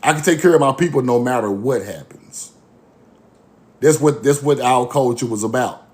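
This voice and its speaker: A man's voice: mid-range voice, moderate pace, a little mellow. A man giving a fiery informal lecture, blunt, repetitive and profane.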